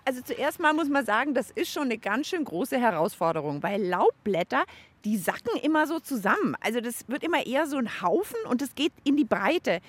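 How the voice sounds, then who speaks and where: Woman, kids' show co-host, fairly high voice, lively intonation, friendly explaining tone. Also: slightly rough, no room sound. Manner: friendly, explanatory, addresses kids directly. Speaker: children's science show host. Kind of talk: casual presenting for children.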